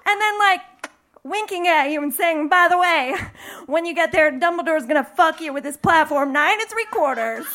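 A woman's voice: extremely high pitch, expressive, normal speed, sharp tone. A young woman from the Midwest telling a bawdy, playful personal story on stage in front of a live audience, laughing through her lines. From American Midwest.

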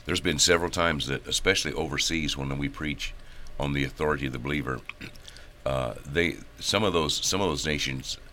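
A man's voice: rumbling low, normal speed, bright, forceful tone, slight background noise. An older man, a pastor, chatting casually on a podcast, joking and rambling with restarts.

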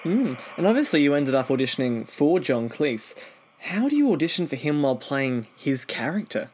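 A man's voice: a little high, very animated, moderate pace, darkish tone, a little hiss or hum. A man hosting an interview, asking prepared questions in a conversational way. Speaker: interview host.

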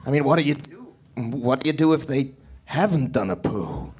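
An actor playing a pompous, bossy American official with a scheme, in a comic audio sketch. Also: male voice, medium pitch, lively intonation, normal speed, a little mellow, husky.

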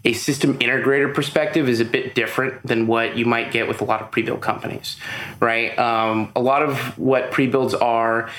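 A man's voice: mid-range voice, fairly even intonation, normal speed, a bit bright, reverberant. A man being interviewed as a guest, explaining at length in a loose, conversational way with many fillers. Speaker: interview guest, business owner. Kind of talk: casual interview chat. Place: room with audible echo, recorded in person.

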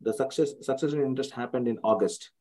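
A man calling in for advice, speaking haltingly, repeating words and using non-native grammar. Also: medium pitch, dark tone, low-quality recording. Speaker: caller seeking advice. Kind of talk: question and answer on a call.

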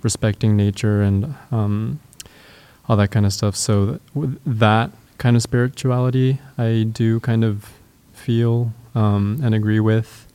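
Gravelly low-voiced man, hesitant. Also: fairly even intonation, a little slow, dark tone. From Japan and the US. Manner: hesitant, many ums and likes. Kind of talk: casual group chat.

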